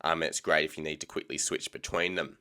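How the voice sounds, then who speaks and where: A young Australian man with an Australian accent and a low voice that sounds bright and crisp. He talks through a screen tutorial at an easy, casual pace, dropping frequent ums. The sound is close and clean, as from a desk mic. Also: little pitch movement, fairly quick. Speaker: tech tutorial host. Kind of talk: screen-recorded software tutorial.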